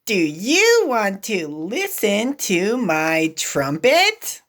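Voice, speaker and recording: A woman voicing an excitable, eager piglet in a read-aloud storybook, exclaiming in a drawn-out, showy way. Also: mid-range voice, exaggerated voice. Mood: proud, eager.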